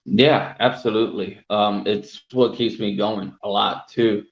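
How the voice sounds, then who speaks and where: Lower-voiced young man from El Salvador. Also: steady tone, measured pace, echoey room, dull audio. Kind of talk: casual podcast chat.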